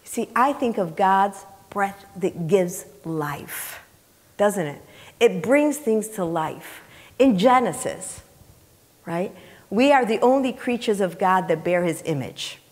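A middle-aged woman delivers a sermon in a church, her medium-pitched voice ringing with the reverb of the hall. She talks conversationally yet with emphasis, putting questions to her listeners and repeating key phrases. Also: lively intonation, slow pace, a little mellow. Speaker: preacher. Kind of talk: sermon, teaching scripture to a congregation. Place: church sanctuary, indoors, on the platform. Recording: reverberant hall, picked up in large room.

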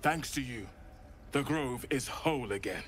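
An actor playing a grateful male druid in a video game, speaking in an earnest, measured way. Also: medium pitch, expressive, a bit bright.

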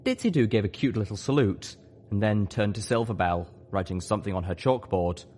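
A narrator reading a scripted story in the first person, with measured, expressive delivery. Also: sits low, a little hiss or hum. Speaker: audiobook reader.

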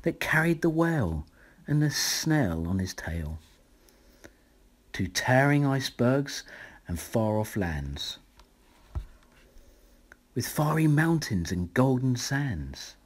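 Slow, animated male bedtime storyteller, slightly breathy. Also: mid-range voice. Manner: measured, dramatic storytelling. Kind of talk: expressive read-aloud of rhyming children's picture book.

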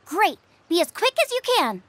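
A woman's voice: very high voice, very animated, normal speed, noisy background. A woman voicing an eager, cheerful young girl chef in a video game, with an enthusiastic, confident manner. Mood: brisk, concerned. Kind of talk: animated video game dialogue.

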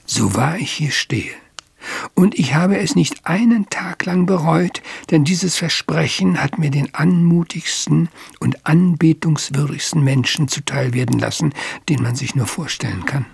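A worried, devoted country gentleman in an audio drama. His voice is heavily gravelled and breathy, recorded very dry. He speaks earnestly and proudly, as one pleading for help. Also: fairly high pitch, a little slow, dry recording, exaggerated voice. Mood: anxious, pleading. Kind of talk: German audio drama acting.

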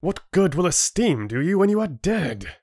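A man voicing a hot-tempered, defiant young man in a fantasy audiobook, indignant, forceful and earnest. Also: medium pitch, expressive, takes it slowly, no room sound, clean studio sound, pitched above the performer's own voice. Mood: furious. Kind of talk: audiobook character acting.

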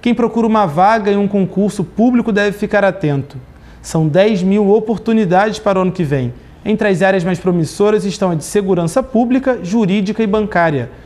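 A man in his thirties reading the news as anchor in a studio, with a steady, formal delivery. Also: sits high, fairly quick. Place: TV studio desk.

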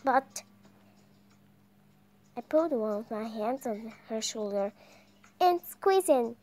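A young woman reading visual novel text aloud in a halting way, stumbling over words. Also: fairly high pitch, animated, warm tone. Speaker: gameplay video creator reading aloud. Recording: music behind the voice.

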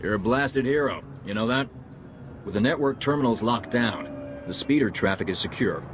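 A man's voice: mid-range voice, expressive, normal speed, lightly acted. A man playing a plain-spoken, loyal security officer in a video game, blunt and informal. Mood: admiring. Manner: blunt, informal, matter-of-fact. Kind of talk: video game cutscene acting. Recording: game cutscene with clear ambient noise.

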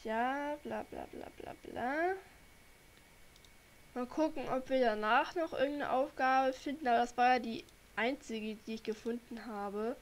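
A young woman with a cold, chatting to her viewers in a relaxed, rambling way while she plays. Her voice sits a little high, and she takes her time with each thought. She talks into a desk mic from home. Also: measured pace. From Germany. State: has a cold. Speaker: gaming channel host. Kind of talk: casual gameplay commentary. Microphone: desk microphone.

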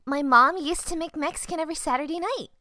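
A woman's voice: high voice, fast talker, sharp tone. A woman playing a cheerful, bubbly teenage girl with a big appetite in an audio drama. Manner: bubbly, talking between bites.

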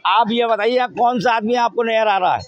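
A man's voice: extremely high pitch, brisk, no echo. A man argues his view in a street interview. He is emphatic and keeps throwing out rhetorical questions. The recording is somewhat dull, taken on a handheld mic. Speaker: local resident interviewed on the street. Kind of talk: street interview, animated opinion. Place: outdoors in a busy market street. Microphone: handheld reporter's microphone.

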